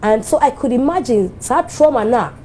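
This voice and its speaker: A woman's voice: on the high side, animated, moderate pace, darkish tone, slight background noise. Ghanaian woman speaking from the heart. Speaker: TV show host giving an anniversary speech. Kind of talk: emotional speech mixing English and Twi.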